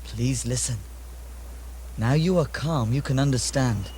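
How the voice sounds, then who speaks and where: A man playing a calm, reassuring holy man who acts as an exorcist in a puppet show, measured and instructive. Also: mid-range voice, a little hiss or hum, close to an everyday voice. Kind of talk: theatrical puppet-show acting.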